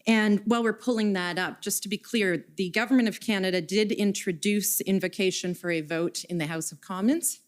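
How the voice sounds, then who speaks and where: A woman questioning a witness at a formal hearing, reading out document numbers and quoted messages in a measured way. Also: mid-range voice. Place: hearing room.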